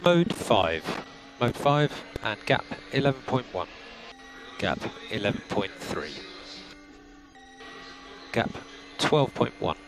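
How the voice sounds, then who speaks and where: A man working as race engineer, giving calm, clipped gap numbers and setup instructions to a driver. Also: mid-range voice, takes it slowly, pauses often, rough recording. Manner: calm, methodical, clipped updates. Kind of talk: team radio instructions. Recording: compressed team radio with music.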